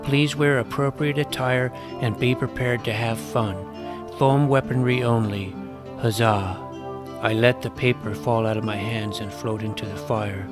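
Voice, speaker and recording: A man's voice: mid-range voice, fairly even intonation, measured pace, close to an everyday voice. A man playing a naive, self-doubting, geeky boy who narrates his fantasy adventure in a wry, youthful, earnest way. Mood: realization. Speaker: narrator and lead character. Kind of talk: first-person scripted fantasy narration and dialogue. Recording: music behind the voice.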